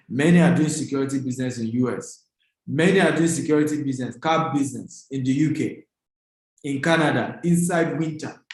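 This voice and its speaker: Very reverberant room, Nigerian man, higher-pitched voice, motivational seminar speaker, emphatic, exhorting, repetitive phrasing. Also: darkish tone. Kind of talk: motivational seminar talk to an online audience.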